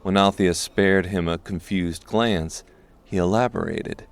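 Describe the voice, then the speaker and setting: A man narrates a dark fantasy audiobook from a script. His voice is low, with a gravelly creak running through it. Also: steady tone, measured pace.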